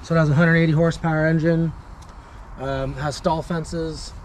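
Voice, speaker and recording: A young man pilot chatting casually outdoors on a river gravel bar, eager and sometimes searching for words. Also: on the high side, little pitch movement, normal speed, a little mellow. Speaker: pilot vlogger. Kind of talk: casual chat. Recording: outdoor noise behind.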